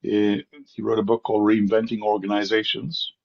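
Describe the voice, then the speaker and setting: Man with a muffled, low voice. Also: completely flat delivery, fairly quick, creaky. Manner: hesitant, many fillers. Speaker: course instructor. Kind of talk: leading an online class discussion. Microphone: video call.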